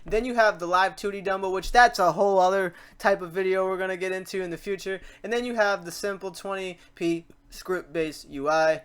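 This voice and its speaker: A man hosting a casual software tutorial, talking off the cuff in an informal, chatty, rambling way and often repeating himself. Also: high-pitched, steady tone, fairly quick, hardly any pauses, clear, resonant tone. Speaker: host of a software tutorial series. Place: voice-over to a screen recording.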